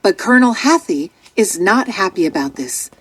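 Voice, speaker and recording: A woman narrating a children's storybook from a script in an expressive, dramatic storytelling manner. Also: mid-range voice, moderate pace, slightly rough, low-quality recording.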